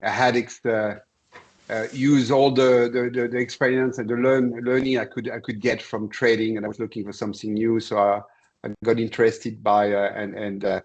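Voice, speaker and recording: A man in his sixties from Senegal speaks as a guest on a video call. He tells his story in a measured, halting way, with a somewhat low voice. He pauses often as he gathers his thoughts. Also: level tone, poor audio. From born in Senegal. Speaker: interview guest, commodity trader. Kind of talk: conversational career account.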